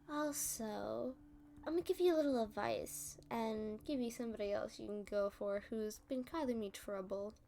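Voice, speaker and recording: A woman's voice: a little high, a little mellow. A woman playing a playful, smug female supervillain in an ASMR roleplay, teasing and talking down to the listener. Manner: teasing, condescending, drawn-out delivery.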